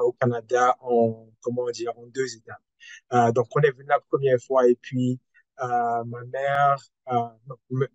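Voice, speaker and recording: A man's voice: mid-range voice, dry recording, low-quality recording. A young man from Cameroon with a Cameroonian French accent, speaking as a panelist and sharing personal stories in a hesitant way with many filler sounds.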